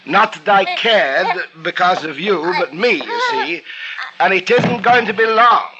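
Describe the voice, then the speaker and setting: An actor playing a cold, vain middle-aged poisoner, who pleads softly and then gloats cruelly, in a 1940s radio drama. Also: male voice, sits high, animated, a little slow, a loud crowd. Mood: gloating. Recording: muffled old radio recording with faint hiss.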